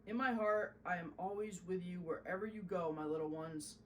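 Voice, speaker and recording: A woman's voice: fairly low pitch, normal speed, recorded from a distance, slight background noise, lightly acted. A woman voicing a tender, motherly spirit of children in a storybook, speaking with solemn reassurance.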